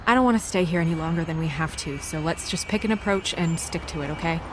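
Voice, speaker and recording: An actress playing a composed, businesslike official in a video game, speaking in a firm, measured way. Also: female voice, deep voice, noisy background, close to an everyday voice. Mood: firm, brisk. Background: music.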